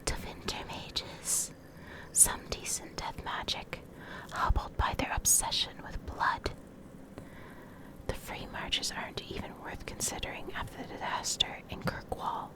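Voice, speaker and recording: Woman, hushed breathy whisper, unhurried ASMR reading, short deliberate phrases. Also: low-quality recording. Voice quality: soft, breathy unvoiced whisper. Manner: slow, deliberate, pausing between short phrases. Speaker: ASMR creator reading game lore.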